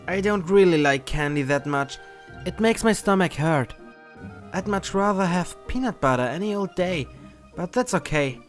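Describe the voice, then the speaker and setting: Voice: mid-range voice, animated, normal speed, lightly acted. A woman voicing a curious, polite nine-year-old boy in a storybook, with an eager but sometimes shy, hesitant manner. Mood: apologetic. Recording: music behind the voice.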